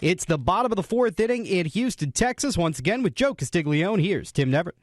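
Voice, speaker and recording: Crisp male studio sports update reader. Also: high voice, very animated, brisk, no echo. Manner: crisp newsreader delivery. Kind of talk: scripted sports news update. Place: radio studio.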